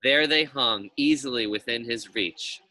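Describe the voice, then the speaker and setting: A man narrating a children's story aloud in an expressive storytelling style. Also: mid-range voice, measured pace, no room sound.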